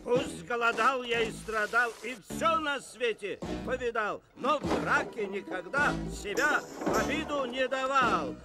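A man voicing a cocky, swaggering stray dog with a brash, boastful manner in a dubbed animated musical film. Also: very high voice, unhurried, few pauses. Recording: music behind the voice.

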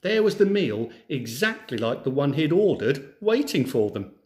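A man reading a children's story aloud in an expressive, theatrical way. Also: a little high, moderate pace. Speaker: storyteller, teacher.

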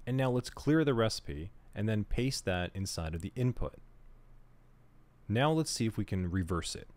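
A man with a somewhat low voice leads a screen-recorded cybersecurity tutorial. He talks at an easy, conversational pace and thinks aloud as he works through each step. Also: normal speed. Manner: relaxed, conversational, thinks aloud while working. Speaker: cybersecurity instructor.

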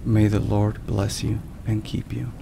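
A man speaks slowly in a hushed, gravelly voice, narrating a devotional in soft ASMR style. His delivery is measured and solemn, with rain and thunder clearly heard behind him. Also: lowish voice, background noise. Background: steady rain and thunder.

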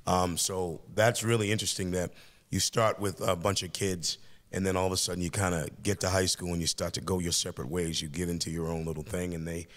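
Deep-voiced older male podcast host. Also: flat delivery, a little fast, fairly bright tone. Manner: reflective, encouraging, talks in long flowing sentences. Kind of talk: interviewing a student. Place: small podcast studio. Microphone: boom-arm studio mic.

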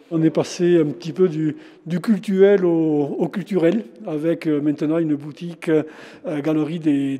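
An older man in his sixties with a very mellow, dark voice sits a little high in pitch. He answers questions haltingly, full of fillers and restarts, through a face mask. The sound rings with the long reverb of a large stone chapel. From France. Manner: hesitant, many fillers and restarts. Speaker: shop owner and local historian. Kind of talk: casual interview. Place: large former chapel, indoors. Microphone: handheld interviewer mic, face mask on.

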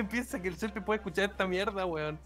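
Male Chilean, high voice, profane complaining in casual group chat. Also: a little fast, a bit bright.

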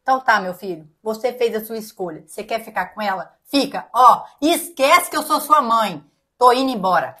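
Casting: actress as domineering Brazilian mother, high voice, dismissive, guilt-tripping, domestic drama. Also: a little fast, fairly bright tone. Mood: indignant, wounded. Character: indignant, domineering mother of a grown son.

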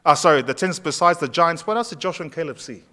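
A pastor in his thirties preaches to his congregation in a church sanctuary. His voice sits fairly high for a man, and he keeps the sermon loose and conversational, putting questions to the people in the pews. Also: lively intonation, fast talker, sharp tone. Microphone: far camera at back of church.

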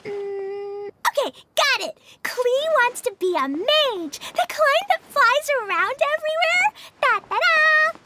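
A very high, childlike little girl for a game. She is excitable and imaginative, and her voice swings widely as she talks, over music. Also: heavily acted. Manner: speaks of herself by name in simple childish words.

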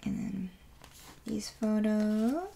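A woman with a raspy voice today, chatting softly and gushing with lots of fillers as she unboxes an album at a table. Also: mid-range voice, drawn-out words, slight background noise. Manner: soft, rambling, gushing with many fillers. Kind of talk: casual unboxing chat. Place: indoors at a wooden table.

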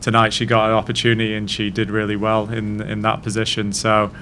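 A man in his thirties, a head coach answering post-match questions at a press conference in a measured, thoughtful way, speaking into a desk mic. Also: fairly low pitch, monotone, pushed and bright, slight background noise. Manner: measured, thoughtful, frequent restarts. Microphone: desk mic on stand.

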